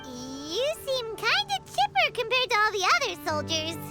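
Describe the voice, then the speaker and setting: A tiny, cheeky fairy companion from a video game. The voice is extremely high, squeaky and childlike, swooping wildly with perky exclamations and an unhurried pace. Music plays loudly behind it. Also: female voice, wildly swooping pitch, exaggerated voice. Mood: puzzled. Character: small chirpy fairy companion, girlish, cheeky and self-assured.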